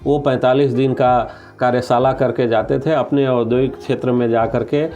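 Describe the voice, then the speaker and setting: A man's voice: medium pitch, flat delivery, normal speed, darkish tone. A man answers as an official in an interview, explaining point by point with some English terms mixed in. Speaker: government official, interview guest.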